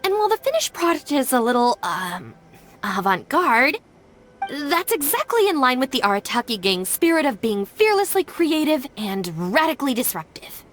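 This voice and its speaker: A composed young female game character, with a raised, very lively pitch. She smooths things over in formal, careful business language, like a gang's loyal deputy. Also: normal speed. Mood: diplomatic, defensive. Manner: formal, careful corporate phrasing. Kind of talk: video game voice acting.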